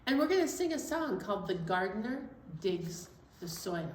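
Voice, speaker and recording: A woman's voice: mid-range voice, sing-song, moderate pace, a little hiss or hum. Echoey, distant woman hosting children's storytime. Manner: encouraging, addresses young viewers directly. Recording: roomy echo, distant mic.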